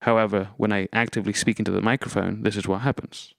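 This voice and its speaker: A man's voice: on the low side, level tone, fast talker, vocal fry, no echo, studio quality. A man presenting an instructional gear demonstration, explaining methodically, step by step. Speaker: tutorial presenter.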